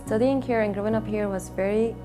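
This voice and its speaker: Female, 20s, from Syria. Thoughtful, searching documentary interviewee, mid-range voice, clear music bed. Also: medium pitch, fairly even intonation, brisk. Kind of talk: personal interview, recollection. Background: background music.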